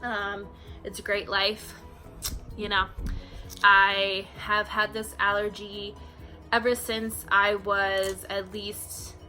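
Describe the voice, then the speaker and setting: A young woman in her early twenties chats casually to her camera, full of ums and "you know"s. Her voice is bright and middle-pitched, and a soft bed of music plays quietly underneath. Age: 20s. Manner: chatty, frequent fillers like um and you know. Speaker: vlogger. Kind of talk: casual facts-about-me vlog.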